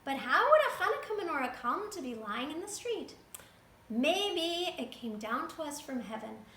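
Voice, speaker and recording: A woman voicing a foolish, excitable village man in a storybook reading. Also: high-pitched, normal speed, echoey room, a little hiss or hum, full character voice. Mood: excited.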